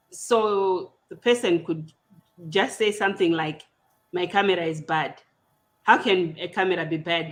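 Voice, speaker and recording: A woman with a Zimbabwean accent, a native Shona speaker, hosting an online panel in a deliberate, explanatory, conversational way. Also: on the low side. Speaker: podcast host. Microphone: video call.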